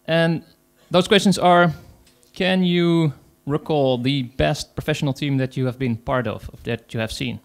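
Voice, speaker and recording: Gravelly Dutch-accented man giving a talk. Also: a little high, fairly even intonation. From Netherlands. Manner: hesitant, many fillers, pauses. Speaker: conference speaker, agile coach. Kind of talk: conference talk.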